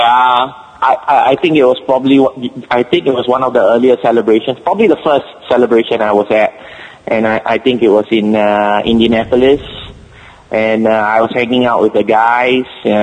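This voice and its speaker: A man from Singapore with a Singaporean English accent, a guest on a podcast, chatting casually and laughing often as he tells a story in halting bits. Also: medium pitch, in a large hall, muffled recording.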